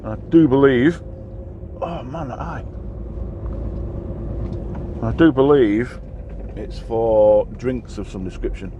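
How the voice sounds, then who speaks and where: A man's voice: mid-range voice, slow, pauses often, dark tone, lo-fi sound. A tired man with a Yorkshire accent, chatting casually and rambling with lots of ums as he drives his truck. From Yorkshire, England. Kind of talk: casual chat while driving. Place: truck cab on the road. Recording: engine and road noise in a truck cab.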